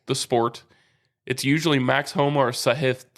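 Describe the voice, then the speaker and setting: A man's voice: mid-range voice, fairly even intonation, moderate pace, fairly bright tone. Easygoing man who laughs a lot. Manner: laughs often, explains golf patiently. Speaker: podcast co-host. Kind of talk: casual chat.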